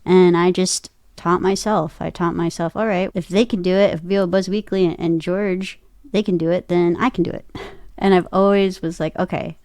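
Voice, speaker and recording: A woman's voice: on the low side, steady tone, normal speed, darkish tone, no echo, studio quality. A woman talking casually as an interview guest, often retelling things by quoting her own thoughts aloud. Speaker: interview guest, voice actor and podcaster. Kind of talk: casual chat.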